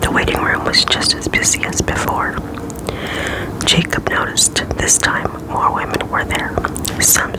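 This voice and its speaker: ASMR whisperer reading a storybook. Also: poor audio. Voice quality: soft unvoiced whisper, casual asides. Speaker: ASMR reader.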